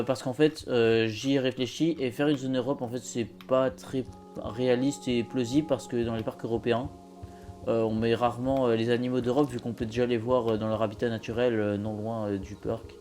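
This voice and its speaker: A man giving a casual walkthrough commentary of a game build as host, speaking in a hesitant, halting way. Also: mid-range voice, steady tone, darkish tone, soft background music. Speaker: host presenting his zoo build.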